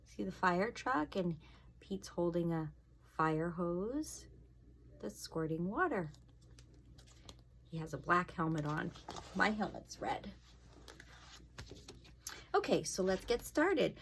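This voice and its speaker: A woman's voice: on the low side, big pitch swings, takes it slowly, long pauses, a little hiss or hum. A woman fire inspector reading a storybook aloud to children, expressively, with casual asides and questions to her young listeners.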